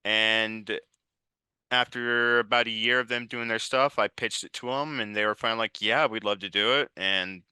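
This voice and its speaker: Brief: male interview guest, twenties, lowish but very bright voice, informal, close dry recording. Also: fairly even intonation, normal speed, clean tone, dry recording. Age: 20s. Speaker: interview guest, writer and director. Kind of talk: casual conversational interview answers.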